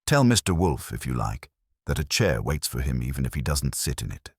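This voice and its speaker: Man playing a smug, deep-voiced theatrical villain. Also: very animated, brisk, slightly rough, dry recording, clean studio sound, heavily acted. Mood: pleasantly menacing. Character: smug, theatrical male villain, pleasantly menacing schemer.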